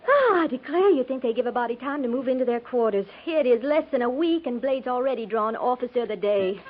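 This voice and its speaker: An American actress playing a chatty, easily riled young schoolteacher bride with folksy, earnest speech in a 1950s radio drama. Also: female voice, on the high side, animated, quick pace. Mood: fretful, chatty. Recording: tinny, narrow-band 1950s radio recording.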